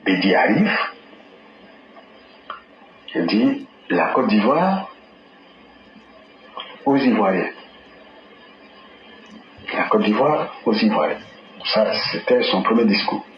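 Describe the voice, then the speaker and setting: A man explaining history in a deliberate way in an interview. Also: on the low side, very animated. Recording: dull roomy audio with faint noise.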